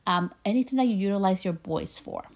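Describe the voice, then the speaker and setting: A woman's voice: medium pitch, animated, brisk, darkish tone. A woman podcast host with a slight Spanish-influenced accent, talking casually and encouragingly to her listeners, laughing now and then between phrases. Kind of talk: casual motivational monologue.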